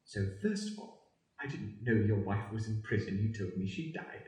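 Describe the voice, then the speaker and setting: A man's voice: lowish voice, lively intonation, normal speed, darkish tone, natural voice. A man playing an exasperated, scornful, demanding lover who makes emphatic accusations in an improvised radio play. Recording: very echoey roomy recording.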